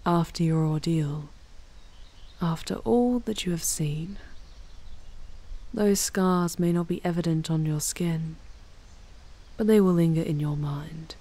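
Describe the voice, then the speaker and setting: A woman voicing an ancient female demon in an ASMR roleplay, soft and breathy, often near a whisper, speaking formally with tender, wistful slyness. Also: sits low, exaggerated voice. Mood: soothing, concerned. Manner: slow, formal, tender farewell speech. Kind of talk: ASMR audio roleplay, intimate scripted monologue.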